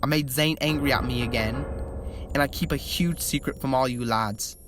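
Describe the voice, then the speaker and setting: A reader voicing a stressed young male pop singer who is meant to hold his band together, speaking lower and quieter than the narration, over background music. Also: moderate pace, noisy background, lightly acted. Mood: worried. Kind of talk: reading fan fiction aloud.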